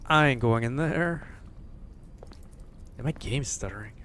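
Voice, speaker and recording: A man's voice: mid-range voice, expressive, unhurried, fairly bright tone, a little hiss or hum. A man streaming a horror game, grumbling casually and swearing, wary of what lies ahead. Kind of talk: casual livestream commentary.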